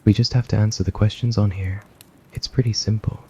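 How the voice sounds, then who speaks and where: A man's voice: lowish voice, steady tone, a little fast, darkish tone, husky. A man voicing an irritated, socially awkward smart student in a blunt, grudging way for an ASMR roleplay. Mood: blunt, reluctant.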